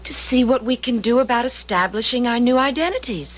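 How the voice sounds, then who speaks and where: An actress playing an anxious, conscience-stricken young wife in an old-time radio drama, speaking with earnest, emotional emphasis. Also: female voice, fairly high pitch, animated, a little fast, dull audio.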